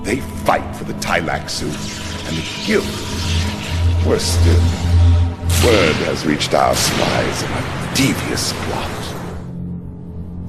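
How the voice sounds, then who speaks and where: A man's voice: deep voice, heavily acted. Casting: dramatic, formal nobleman's advisor, game cutscene, devoted and florid, in a reverberant hall over music. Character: theatrical, devoted male advisor to a nobleman, excitable. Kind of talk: scripted science fiction game cutscene acting.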